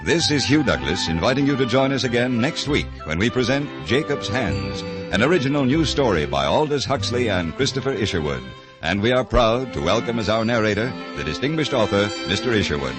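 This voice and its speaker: A man's voice: mid-range voice, sing-song, fairly quick, music in the background. A man reading scripted closing announcements as a formal, polished 1950s network radio announcer.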